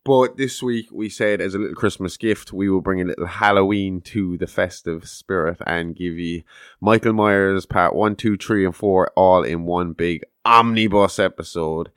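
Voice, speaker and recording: A man hosting a podcast in casual chat, joking and swearing freely, with a deep voice on a close podcast mic. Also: a bit bright, smooth, no room sound. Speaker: podcast host.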